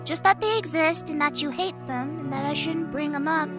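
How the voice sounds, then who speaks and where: A woman's voice: sits high, normal speed, close to an everyday voice. A woman voicing an innocent, curious little skeleton boy in a comic dub, childlike and earnest. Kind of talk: cartoonish comic dub acting. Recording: loud music behind the voice.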